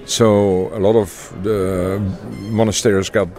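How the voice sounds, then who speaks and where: Older Belgian man, halting and low. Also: flat delivery, darkish tone, soft background music. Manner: halting, many uhs, chuckles. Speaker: guest. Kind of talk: casual interview chat.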